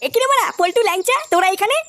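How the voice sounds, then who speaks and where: Woman voicing a squeaky, frantic male fritter hawker. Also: racing, fairly bright tone. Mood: excited. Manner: repetitive hawking, crude banter. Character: excitable, foul-mouthed male fritter seller. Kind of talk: comedy cartoon dubbing.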